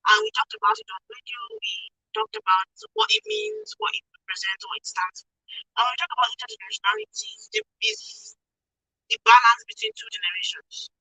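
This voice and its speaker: A young Nigerian woman with a high voice that swings widely in pitch. She explains her points emphatically in an informal radio discussion, checking that she is followed. The sound is dry and close. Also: lively intonation, a little fast, gravelly, sharp tone, no room sound, low-quality recording. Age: young adult. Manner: emphatic, repeatedly checks listener understands. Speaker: radio show guest explaining a topic.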